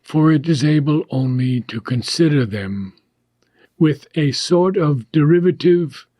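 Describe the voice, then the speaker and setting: A man reading a philosophical text aloud as an audiobook, in a deliberate, measured manner with short phrases and frequent pauses. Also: fairly high pitch, dark tone, no echo, studio quality. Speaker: audiobook reader.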